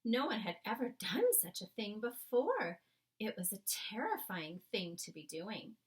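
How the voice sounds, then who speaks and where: A woman reading a storybook aloud as narrator, with dramatic, measured phrasing. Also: medium pitch, fairly bright tone, low-quality recording.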